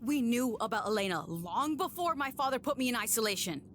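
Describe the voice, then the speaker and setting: A woman playing a grieving, sharp-tongued young female agent in an audio drama, blunt and defiant in manner. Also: a little high, expressive, brisk. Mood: angry, accusing. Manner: blunt, sarcastic, swearing. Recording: noisy ambience behind the voice.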